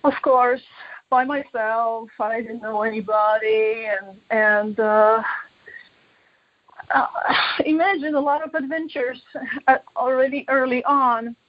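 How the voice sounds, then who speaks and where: A muffled, echoey room recording carries the voice of a woman. She speaks very slowly and haltingly, reflecting on her own life as an interview guest. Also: fairly high pitch. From Poland. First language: Polish. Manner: halting, reflective, searching for words. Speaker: interview guest, artist and author. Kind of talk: personal life story in an interview.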